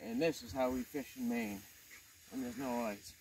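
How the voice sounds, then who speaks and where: A man's voice: medium pitch, moderate pace, heavy background noise. A man filming his own ice fishing trip outdoors on a phone, chatting casually and joking with his friends. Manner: joking, laughs often, teases friends. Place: outdoors on a frozen lake shore in winter. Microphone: handheld phone camera.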